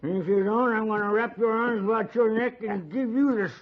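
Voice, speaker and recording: A man's voice: high-pitched, brisk, natural voice. An actor playing a drunken, boastful old frontiersman with rustic Western American speech in a 1950s radio western. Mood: belligerent. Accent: rustic American West. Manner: folksy, blustering backwoods talk. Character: drunken, boastful, quarrelsome old frontier man, proud father. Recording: muffled vintage 1950s radio recording.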